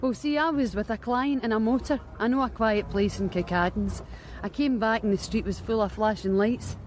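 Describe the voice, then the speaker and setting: A woman's voice: a little high, moderate pace, slight background noise, close to an everyday voice. A woman playing a wry Scottish street worker. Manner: blunt, wry, matter-of-fact. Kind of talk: BBC radio drama acting.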